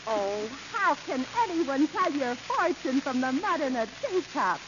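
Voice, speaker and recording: High-voiced ditzy radio comedy woman. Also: big pitch swings, unhurried. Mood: puzzled, innocent. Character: ditzy, naive, chatty comic woman. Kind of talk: 1930s radio comedy acting. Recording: hissy, muffled 1930s radio recording.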